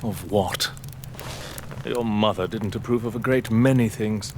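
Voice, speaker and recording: An actor playing an arrogant, sardonic aristocratic lord in an audio drama, with a British accent and a pompous, theatrical manner. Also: male voice, lowish voice, unhurried, a little mellow, slight background noise. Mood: cynical, lecturing.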